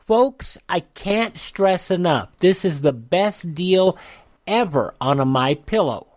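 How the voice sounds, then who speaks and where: A man's voice: high voice, expressive, slow, darkish tone, no room sound. American male radio host, dry close sound. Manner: folksy, salesy. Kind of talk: host-read radio advertising pitch.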